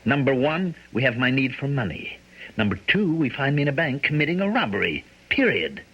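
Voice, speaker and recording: A man's voice: mid-range voice, very animated, fairly quick, husky. An actor playing a stubborn, pedantic male engineer turned bank robber in a radio drama. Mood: irritated, pedantic. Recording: dull lo-fi radio broadcast.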